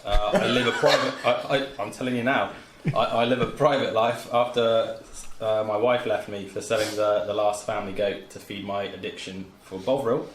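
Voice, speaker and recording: A man's voice: on the low side, fairly even intonation, normal speed, noisy background. A man playing a tabletop game, introducing his character in a casual, tongue-in-cheek way. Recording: echoey room, others chattering.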